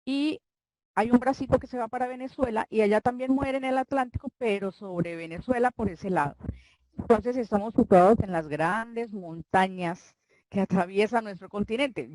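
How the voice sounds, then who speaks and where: An older woman from Colombia with a Santander accent, a guest author chatting in an interview, talking warmly and rambling with frequent restarts. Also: fairly high pitch, no room sound, poor audio. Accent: Colombian Spanish, Santander. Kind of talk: casual interview chat.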